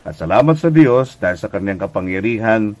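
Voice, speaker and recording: A man's voice: on the low side, lively intonation, brisk, a little mellow. A Filipino man preaching as a pastor and radio host, speaking conversationally and earnestly, exhorting listeners with polite address.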